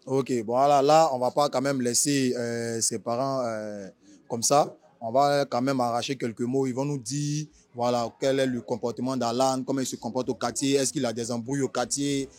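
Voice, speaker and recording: A man's voice: mid-range voice, steady tone, moderate pace, darkish tone. A man with an Ivorian accent conducting an informal street interview, slangy and prodding.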